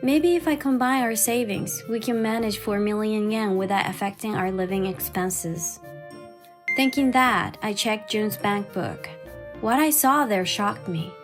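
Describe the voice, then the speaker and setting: A woman voicing a forty-year-old housewife and crafts artist in a dramatized story, expressive and exasperated yet caring. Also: medium pitch, close to an everyday voice. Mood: measured narrating. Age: 40s. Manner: expressive, indignant in dialogue, measured when narrating. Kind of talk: dramatized first-person story narration and dialogue. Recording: background music under the voice.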